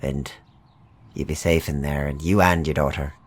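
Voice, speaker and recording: A man's voice: rumbling low, fairly even intonation, normal speed. A man playing an older, friendly but watchful gate guard in a fantasy tabletop roleplay, dry and easygoing.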